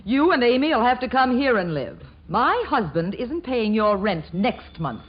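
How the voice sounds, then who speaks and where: An actress playing a sharp-tongued, sarcastic married daughter in 1940s radio comedy, given to scolding. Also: female voice, on the high side, very animated, normal speed. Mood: needling. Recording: muffled 1940s radio recording, faint hiss.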